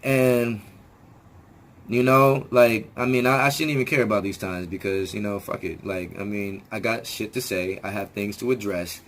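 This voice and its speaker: A man talking straight to his viewers in a rambling self-help vlog, often restarting words and swearing casually, his voice still hoarse as it comes back. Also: fairly low pitch, moderate pace, fairly bright tone, a little hiss or hum. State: hoarse, regaining lost voice. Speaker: video channel host.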